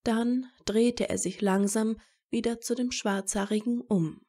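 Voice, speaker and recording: A woman's voice: fairly high pitch, fairly even intonation, a little slow, mellow. A woman narrating a scripted story.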